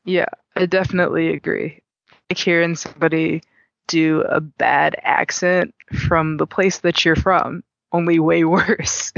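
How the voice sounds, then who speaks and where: A man's voice: on the high side, steady tone, a little slow, gravelly, a bit bright, dry recording, highs cut off. A man speaking earnestly as an interview guest about his gaming community. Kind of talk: interview conversation.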